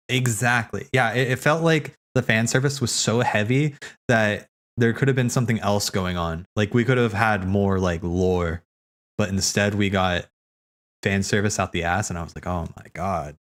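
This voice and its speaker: A man's voice: fairly low pitch, moderate pace, fairly bright tone. A man streaming casual, rambling commentary, thinking aloud as he hosts, often repeating his words. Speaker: streamer hosting a watch party.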